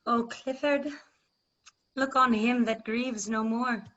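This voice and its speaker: Woman acting a measured, resolute grieving queen. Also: on the high side, steady tone, a bit bright. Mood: sorrowful. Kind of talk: classical verse stage acting. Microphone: video call. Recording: muffled video-call audio.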